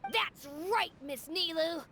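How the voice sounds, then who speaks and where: A woman's voice: very high-pitched, expressive, slow pace, a crowd in the background, full character voice. A woman voicing a feisty, loyal small child in a video game, with childlike, exclamatory delivery. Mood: feisty, cheering. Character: feisty, loyal small child, eager to fight bad guys.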